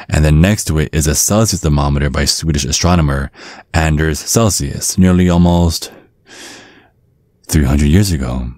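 A man plays a centuries-old vampire father with an extremely low voice, recorded close and dry. He is courtly and measured, with polite menace under every word, in an ASMR audio roleplay. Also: darkish tone, no echo. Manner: measured, politely threatening, formal.

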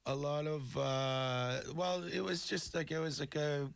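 A man's voice: on the high side, unhurried, vocal fry, clear, resonant tone, no room sound. A Canadian man in his thirties, a comedian guest telling stories on radio with lots of fillers and hesitations. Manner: hesitant, filler-heavy storytelling. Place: small radio studio. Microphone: studio mic.

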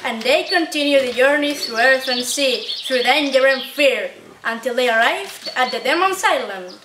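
A woman's voice: sits high, animated, normal speed. A teenage young woman narrates a scripted story. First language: Spanish or Galician. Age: teens. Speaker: student narrator of a puppet show. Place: indoor library-like room at a day care centre. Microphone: distant camera mic. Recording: echoey room, clear background noise.

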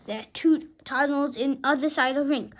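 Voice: high voice, normal speed. A young child of about five reading a storybook aloud dramatically, sometimes stumbling over words and rereading them.

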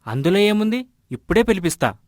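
A man plays An earnest, generous villager from an animated moral tale. He explains things plainly in a slightly raised male voice, with a dry, close sound. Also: sits high, fast, no room sound. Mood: concerned. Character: earnest, generous village husband missing a ring. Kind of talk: animated moral story dialogue.

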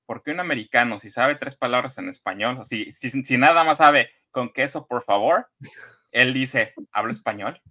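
A man's voice: mid-range voice, normal speed, bright, forceful tone. A middle-aged man co-hosting a gossip chat over a video call from home, who stops and restarts often while searching for words. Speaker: co-host. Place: home room, indoors.

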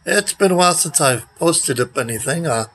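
An older man in his sixties talking casually and haltingly to a handheld phone outdoors, his voice recovering from a recent illness, often trailing off. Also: a little high, animated, a bit bright, a little hiss or hum. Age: older, around 60s. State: recovering from nearly losing his voice. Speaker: vlogger. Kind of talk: rambling casual selfie vlog. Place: outdoors beside a brick building. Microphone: handheld phone at arm's length.

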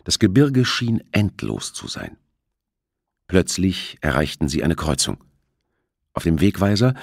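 A man with a husky voice reading a thriller novel as an audiobook narrator. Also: rumbling low, dry recording, clean studio sound.

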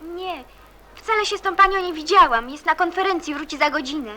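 A high, bright teenage girl played by a woman speaks in a radio drama. She is frank, eager and inquisitive, with an earnest streak and a stubborn cheer. Some ambient noise sits behind her voice. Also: fairly quick. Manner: earnest, inquisitive, plain-spoken. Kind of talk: radio play dialogue. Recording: noticeable background noise and ambience.

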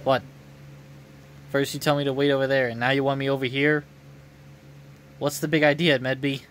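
A man voicing a gruff, irritated berserker warrior from a video game. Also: mid-range voice, level tone, moderate pace, a bit bright, slight background noise, close to an everyday voice. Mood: annoyed. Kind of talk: video game dialogue read aloud.

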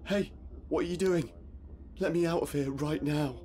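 An actor playing an anxious, frustrated man trapped in a dark place, muttering and swearing to himself in a video game. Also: fairly high pitch, normal speed, a little hiss or hum, close to an everyday voice. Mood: alarmed. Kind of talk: video game voice acting, inner monologue.

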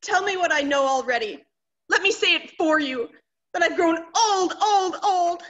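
Actress doing a nervy dramatic wife in a comedy play, really high bright voice. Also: female voice, animated, moderate pace, muffled recording. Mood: anxious, pleading. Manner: fretful, exclaiming, dramatic. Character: anxious, melodramatic wife awaiting soldier husband's return. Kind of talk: stage comedy acting. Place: indoor room, recorded over video call.

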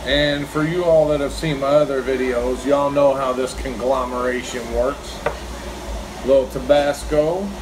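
Man, fifties, folksy food vlogger, mid pitch, noisy diner room with air-con hum. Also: steady tone, measured pace. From Kentucky, US. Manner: chatty, folksy, talks while eating. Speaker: food reviewer. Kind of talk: casual food review vlog. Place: small diner, indoors. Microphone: phone camera across the table.

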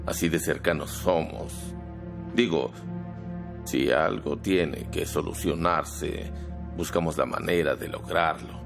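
Empresario poderoso de voz muy grave. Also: male voice, rumbling low, slow, gravelly, over music, heavily acted. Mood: calculating, firm. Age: middle-aged. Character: middle-aged powerful businessman, smooth-talking, calculating and coercive. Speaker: dubbing actor in a TV drama. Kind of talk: dubbed television drama dialogue.

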